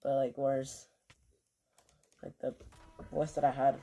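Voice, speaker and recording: Guy streamer rambling to chat, filler words everywhere, soft dark voice, keys clacking. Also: medium pitch, little pitch movement, takes it slowly. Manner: rambling, filler-heavy, swears offhand. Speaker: game streamer. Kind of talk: casual livestream chatter.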